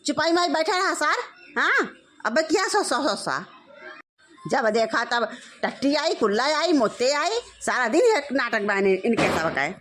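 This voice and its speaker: A woman's voice: sits high, big pitch swings, unhurried, full character voice. A woman with an Awadhi accent voicing a flustered, fawning village mother-in-law in a comedy cartoon, talking effusively and repetitively. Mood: exasperated. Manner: effusive, repetitive, swinging to shouted orders. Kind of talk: rural family comedy cartoon.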